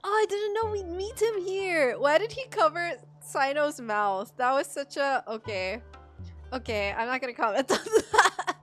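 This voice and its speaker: High-voiced, expressive woman game streamer. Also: measured pace, soft background music. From Philippines. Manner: expressive, exclamatory, chatty. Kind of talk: casual reactive game playthrough chat.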